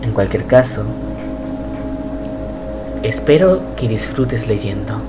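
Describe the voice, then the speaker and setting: A man reading a scripted horror narration in a measured, even way. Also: lowish voice, very noisy. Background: music.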